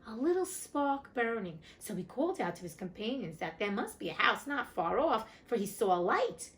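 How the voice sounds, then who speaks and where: A woman reads an old fairy tale aloud with a lively, swinging pitch. She keeps stopping for chatty, irreverent asides, tossing in casual swearing along the way. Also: normal speed. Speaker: host reading classic stories. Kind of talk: storybook reading with casual asides.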